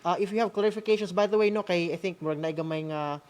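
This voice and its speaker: A Filipino man hosts an online learning session in an unscripted way. His voice is on the higher side, and he speaks hesitantly, slipping between languages. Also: rapid-fire, darkish tone. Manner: hesitant, code-switching. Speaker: host of an online radio school session. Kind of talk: casual unscripted hosting.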